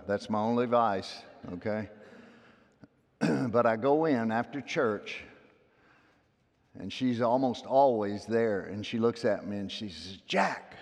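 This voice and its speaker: Man preaching in a reverberant sanctuary. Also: on the low side. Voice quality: loud voice. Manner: folksy storytelling, swinging between hushed asides and loud emphatic peaks. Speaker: pastor. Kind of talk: sermon. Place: church sanctuary. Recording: long hall reverb.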